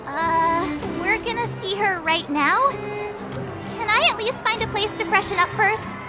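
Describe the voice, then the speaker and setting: An actress playing a perky, girlish young traveler in a video game, casual and excitable. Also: extremely high pitch, takes it slowly. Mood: flustered, pleading. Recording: loud music behind the voice.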